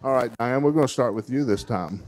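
A man's voice: medium pitch, a little fast, darkish tone, rough recording. Guy in his 50s hosting a fair contest on a handheld mic, chatty, cheering the kids on. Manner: friendly, encouraging, chatty. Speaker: contest host. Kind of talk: emcee interviewing child contestants. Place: large indoor fair hall.

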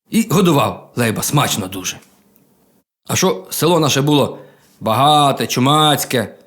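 A man telling a humorous folk tale in a colloquial, expressive, folksy manner. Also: on the high side. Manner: colloquial, expressive, with chuckles and exclamations. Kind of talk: folksy humorous oral storytelling. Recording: music behind the voice.

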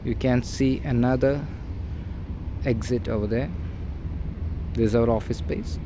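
A man's voice: mid-range voice, fairly even intonation, measured pace, background noise. A man working as a real estate agent, walking through an empty warehouse and pointing out its features in a plain, repetitive way, recorded on a handheld phone. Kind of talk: walkthrough property tour. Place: large empty indoor warehouse. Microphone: phone held by the filming person.

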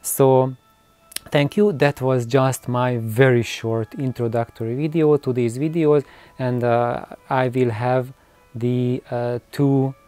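A man in his forties explaining things to camera in an unscripted vlog, with halting phrasing and repeated words. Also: mid-range voice, fairly even intonation, dark tone, no echo, soft background music. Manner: halting, hesitant phrasing with repetitions. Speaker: video host. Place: small cluttered room with shelves of records, indoors.

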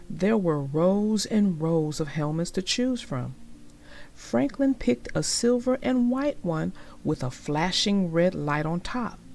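A woman narrator reading aloud for young children in a deliberate, expressive way. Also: fairly low pitch, creaky.

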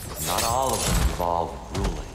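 An actor playing a calm, wise, courteous god of war in a video game, speaking in a measured, formal, thoughtful way. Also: male voice, deep voice, very noisy, close to an everyday voice. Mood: courteous, reflective. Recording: long echoing reverb under loud game music.

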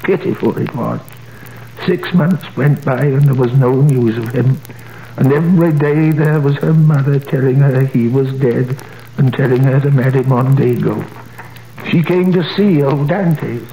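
A man's voice: medium pitch, unhurried, gravelly, dark tone. A man playing a mournful, confiding storyteller. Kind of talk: 1930s radio drama acting. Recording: echoey old radio recording with hiss.

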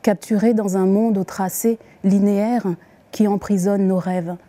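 A woman reciting poems as the poet herself, with deliberate, expressive phrasing and pauses. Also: medium pitch. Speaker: poet reading her own poems. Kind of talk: poetry recitation.

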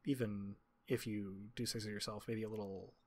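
Man narrating a tabletop game, halting delivery. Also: fairly low pitch, level tone, breathy edge. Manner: halting, measured descriptive phrasing. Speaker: game master.

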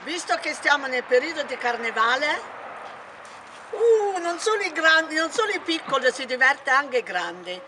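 Older home cook, Abruzzo Italian accent, slow steady delivery, fairly high voice, heard from across an echoey kitchen. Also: female voice, slight background noise. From Italy. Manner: slow step-by-step instructions. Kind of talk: cooking demonstration. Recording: echoey kitchen, distant mic.

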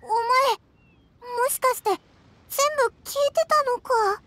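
A woman's voice: very high-pitched, measured pace, background noise. A woman voicing a tiny, innocent childlike companion in a video game, speaking simply and informally. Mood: surprised. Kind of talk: Japanese video game voice acting. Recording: background music under the voice.

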